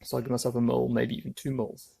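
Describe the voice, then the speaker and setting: Creaky-voiced man giving DIY instructions. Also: medium pitch, completely flat delivery, fast talker, warm tone. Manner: explanatory, thinking aloud while working. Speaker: DIY woodworking video host. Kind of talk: casual hands-on tutorial talk.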